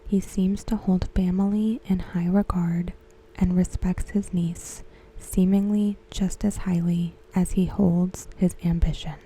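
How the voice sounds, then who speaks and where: Slow, breathy whispering ASMR woman. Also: on the low side, flat delivery, rough recording. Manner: hushed, gentle, unhurried delivery. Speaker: ASMR content creator reviewing a TV episode. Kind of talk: ASMR soft-spoken commentary.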